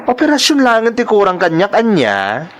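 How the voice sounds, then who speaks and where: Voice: medium pitch, huge pitch swings, normal speed, a little hiss or hum. A performer with an Ilocano accent playing a sassy, bossy, evasive transgender woman in an audio drama, flamboyant and scolding. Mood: indignant, sassy. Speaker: voice actor in a radio-style drama.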